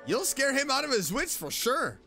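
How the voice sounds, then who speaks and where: A woman's voice: high-pitched, very animated, normal speed, a bit bright, soft background music. A woman streaming a video game, commenting casually and reading along as she plays.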